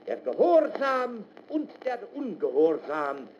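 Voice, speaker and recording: A stern paternal preacher in a radio drama. His high voice swoops dramatically as he solemnly declaims about obedience. The recording is muffled, with some faint noise. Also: wildly swooping pitch, slow pace, exaggerated voice. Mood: solemn exhortation. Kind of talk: German radio drama acting, oratorical.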